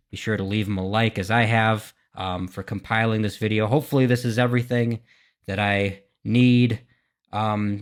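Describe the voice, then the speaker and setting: A man giving casual, speculative commentary as a gaming reaction host, often questioning his own thoughts. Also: medium pitch. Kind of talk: casual commentary, thinking aloud.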